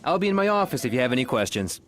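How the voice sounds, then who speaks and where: An actor playing a defensive, fussy office boss in a scripted comedy, speaking in a prim, stilted way. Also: male voice, mid-range voice, big pitch swings, rapid-fire, a bit bright. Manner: prim, stilted formal wording. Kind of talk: scripted workplace comedy acting.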